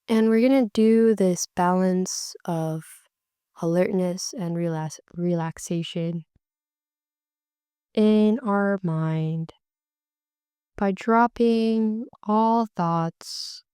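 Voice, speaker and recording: A woman guides a meditation in an unhurried, gentle way, letting her phrases stretch out. Her voice is mellow and warm, sitting a little low, and comes through very smooth and clear. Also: dry recording, clean studio sound. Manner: slow, soft and soothing, drawn-out phrases.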